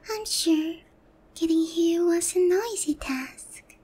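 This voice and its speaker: A very high, girlish-pitched demon queen, acted by a woman for roleplay ASMR. She speaks slowly and theatrically, often slipping into breathy near-whispers. Her haughty, teasing pride covers a lonely, easily flustered heart. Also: mellow.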